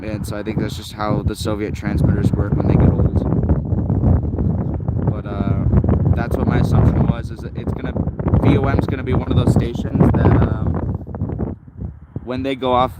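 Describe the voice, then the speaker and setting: A man's voice: medium pitch, little pitch movement, moderate pace, pauses often, very noisy. A man giving casual, unscripted hobbyist commentary as a livestream host, rambling and thinking aloud. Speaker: shortwave radio livestream host.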